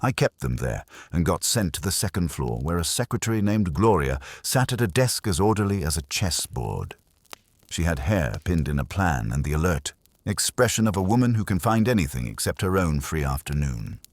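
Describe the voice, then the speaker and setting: Very deep, husky male detective-story narrator. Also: very animated, normal speed, dry recording. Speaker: audiobook narrator. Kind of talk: scripted first-person detective story narration.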